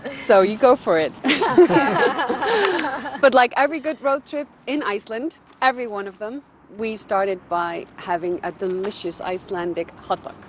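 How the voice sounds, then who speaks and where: A woman's voice: medium pitch, moderate pace, crowd noise. A woman casually explaining the rules and prize of a game to contestants, laughing easily. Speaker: game organizer.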